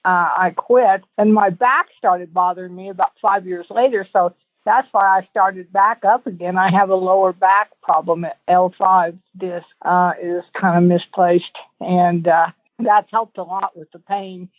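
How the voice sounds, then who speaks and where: A woman's voice: fairly low pitch, fairly even intonation, a little slow, dry recording. Chatty woman on a tinny phone line. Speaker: call-in guest giving a testimonial. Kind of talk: casual rambling personal testimonial. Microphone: telephone.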